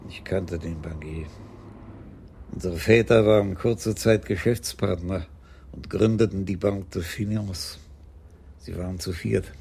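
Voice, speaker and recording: Radio crime drama: elderly commissioner, courteous and deliberate, heavily creaky, very raspy. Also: male voice, fairly low pitch, dark tone, exaggerated voice. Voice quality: gravelly, husky. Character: elderly police commissioner, courteous, dry, unhurried. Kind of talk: radio play acting.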